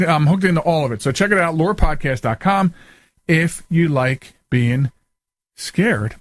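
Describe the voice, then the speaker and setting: A man's voice: fairly high pitch, no echo. A man hosting a radio talk show in casual, informal chat, often repeating and rephrasing himself.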